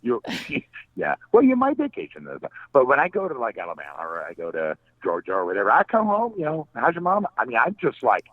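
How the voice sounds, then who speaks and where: A man's voice: medium pitch, huge pitch swings, quick pace. A middle-aged man talking as a guest on a casual radio interview, rambling and joking. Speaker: guest paranormal investigator. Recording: tinny phone line, dry.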